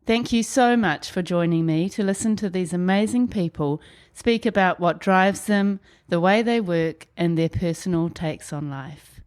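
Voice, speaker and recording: A woman's voice: lowish voice, unhurried, a little mellow. A woman hosting a podcast, reading a scripted intro and then chatting in an encouraging, friendly way with her guest. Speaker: podcast host. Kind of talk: scripted intro then casual interview chat.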